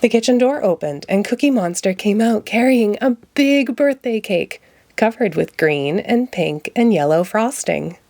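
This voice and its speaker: Lady narrating a picture book, mid pitch, read-aloud for kids. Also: animated, a little slow, a little mellow, dry recording. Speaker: storybook reader.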